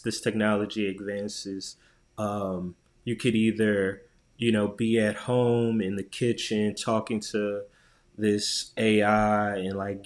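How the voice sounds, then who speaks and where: A man co-hosting a podcast, talking casually and thinking aloud in informal, slangy speech. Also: on the low side. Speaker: podcast co-host. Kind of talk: casual chat about tech.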